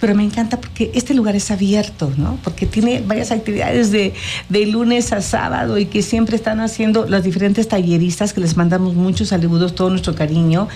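A woman's voice: medium pitch, fairly even intonation, brisk, dark tone. A woman with a Mexican accent hosting a casual interview in a chatty, encouraging way. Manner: chatty, encouraging, frequently affirming. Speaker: interviewer, host.

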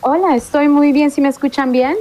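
A middle-aged woman from Mexico, a singer-songwriter, chatting casually in an interview and often pausing to search for words. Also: sits high, steady tone, dark tone.

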